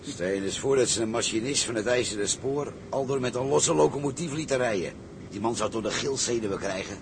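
A man's voice: medium pitch, brisk, background noise, natural voice. A man playing a grumbling tug crewman in a seafaring drama, speaking colloquially. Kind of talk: dramatised seafaring story, scripted acting.

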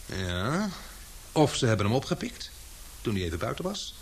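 A man acting in a Dutch radio drama as a sharp, suspicious investigator, speaking deliberately with hesitant pauses. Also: mid-range voice, big pitch swings, a little hiss or hum, rough recording. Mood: grave, reasoning.

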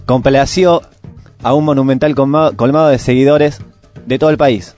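A man's voice: medium pitch, steady tone, moderate pace. A man with a Rioplatense Argentine accent presenting a radio show, reading scripted music news and listings, sometimes stumbling over words. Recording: music playing behind the voice. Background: music bed.

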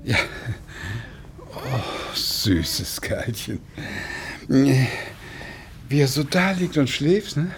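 An actor playing a hesitant, stammering retired widower caught up in a radio crime drama. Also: male voice, medium pitch, sing-song, very slow, long pauses, a little hiss or hum, heavily acted. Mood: shy, friendly. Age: older. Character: retired widower, smitten, hesitant amateur sleuth.

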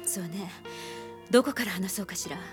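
A haunted but composed woman psychiatrist voiced by an actress in a radio drama. She speaks at a middle pitch, gravely and deliberately, with faint music underneath. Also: close to an everyday voice. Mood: somber, reflective. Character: composed, haunted female psychiatrist telling her dark past. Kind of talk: Japanese radio drama acting.

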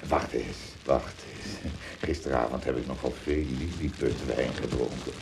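A man's voice: extremely low pitch, steady tone, a little slow, vocal fry, noisy background, heavily acted. A man playing a good-hearted shipwrecked giant traveler in a radio play, hesitant and given to thinking aloud. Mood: flustered.

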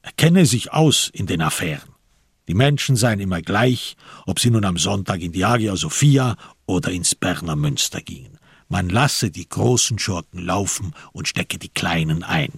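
A man with a gravelly, husky voice reading literary narration for a crime radio play. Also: low-pitched, animated, moderate pace, no room sound, studio quality. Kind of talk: scripted literary narration with reported speech.

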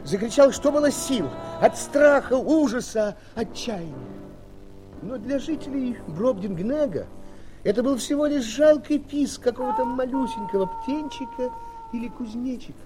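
A man playing an expressive fairy-tale narrator who addresses young friends directly and dramatically in a children's audio play. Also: extremely high pitch, normal speed, music in the background, rough recording. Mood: dramatic.

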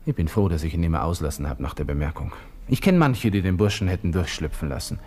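An actor playing an arrogant, thin-skinned young army lieutenant with an Austrian accent, muttering indignantly in a radio drama monologue. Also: male voice, low-pitched, lively intonation, quick pace, mellow. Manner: haughty, indignant, muttering to himself. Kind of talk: 1960s German radio drama interior monologue.

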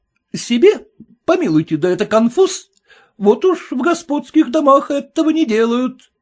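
A man voicing an eager, ambitious footman who flatters his employer and adds servile endings to his words. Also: very high-pitched, normal speed, voice pushed up. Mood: eager fawning. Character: fawning ambitious male footman, puffed-up.

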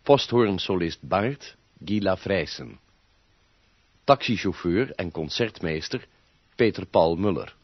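A dry, slightly dull studio recording of a male announcer. He reads scripted radio play credits at a very slow, measured pace in a fairly low voice. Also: lively intonation, husky, no room sound.

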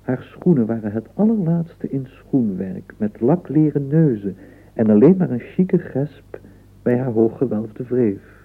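A man narrating a radio drama as a florid storyteller, in a measured, gravelly voice. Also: mid-range voice, expressive, exaggerated voice. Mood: measured, admiring. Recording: narrow, phone-like radio play recording.

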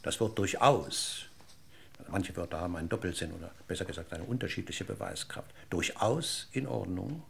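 An actor playing a middle-aged police inspector in a German radio crime drama, husky and gravelly, formally polite, deliberate and persistent in his questioning. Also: deep voice, heavily acted. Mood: politely insistent. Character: probing, courteous male police inspector, dryly persistent.

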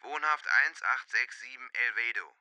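A man's voice: medium pitch, normal speed, sharp tone. A man playing a businesslike police detective giving a report in a German radio drama.

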